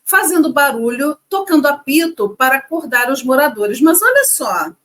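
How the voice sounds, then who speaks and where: A woman's voice: high voice, sing-song, normal speed, a bit bright. A woman co-hosting a casual educational chat, reading facts and adding playful asides. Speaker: co-host.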